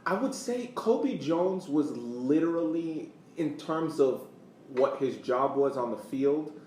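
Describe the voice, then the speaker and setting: A man co-hosting a sports podcast with casual commentary, deliberately repeating words for emphasis. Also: a little high, expressive, a little mellow. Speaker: podcast co-host. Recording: echoey room, distant mic, faint noise.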